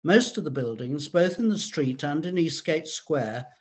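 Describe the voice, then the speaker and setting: Husky, higher-pitched man narrating history. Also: expressive, a little slow, a little mellow.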